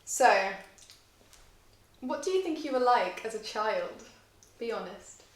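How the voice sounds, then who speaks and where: A young adult woman with a somewhat high voice chats in a friendly, giggly manner as she asks questions. The sound is roomy and echoey. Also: expressive, slow pace. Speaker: interviewer. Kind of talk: casual chat. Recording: echoey room.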